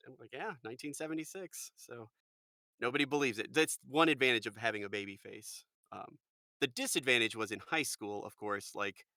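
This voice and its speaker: A man in his forties, a voice actor and podcast guest, chatting in an animated way with a fairly high-pitched voice. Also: brisk, dry recording, clean studio sound. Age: 40s. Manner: chatty, animated storyteller, quotes people in lively voices. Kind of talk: casual chat.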